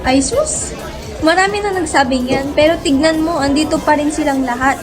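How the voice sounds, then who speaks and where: Woman acting a high-voiced, mocking bar worker. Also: normal speed, natural voice. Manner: taunting, dismissive. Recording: music and chatter behind the voice.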